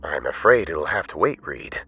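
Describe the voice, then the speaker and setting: Deep, husky, weary scientist. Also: male voice, very animated, normal speed, bright, forceful tone, no echo, soft background music, heavily acted. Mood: weary, grave. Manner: formal, weary. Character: weary, formal male mutant scientist on the phone. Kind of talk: audio drama acting.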